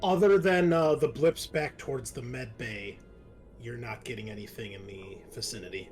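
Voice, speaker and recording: A man running a tabletop roleplaying game as game master, narrating conversationally with halting pauses. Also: medium pitch, a little mellow, faint music behind.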